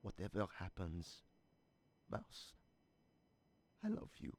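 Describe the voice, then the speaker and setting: An actor playing an anxious, excitable male code-breaker with a halting, dramatic delivery, leaving a recorded message in a video game. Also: low-pitched, full character voice. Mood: tender, grave. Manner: halting, stammering, dramatic swings.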